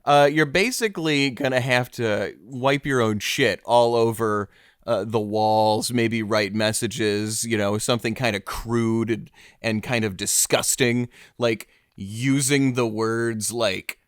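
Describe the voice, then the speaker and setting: Guy hosting a comedy podcast, smooth clear voice, stammers along all awkward and earnest, very dry recording. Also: mid-range voice, fairly even intonation, slow, no room sound. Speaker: podcast host. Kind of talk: improvised mock-serious comedy interview.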